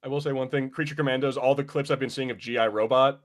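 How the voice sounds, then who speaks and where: A man's voice: mid-range voice, steady tone, quick pace. Man in casual, sweary podcast chat. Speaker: podcast co-host.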